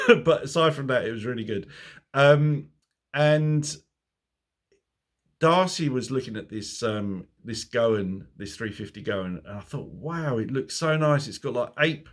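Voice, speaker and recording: A man's voice: mid-range voice, lively intonation, a little slow. A man, middle-aged, whose throat is sore and voice is going. He chats to a phone camera in a loose, rambling way, laughing at his own jokes and catching himself going off on tangents. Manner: rambling, chuckling, self-mocking asides. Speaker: motorcycle vlogger. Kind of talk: casual chat to camera.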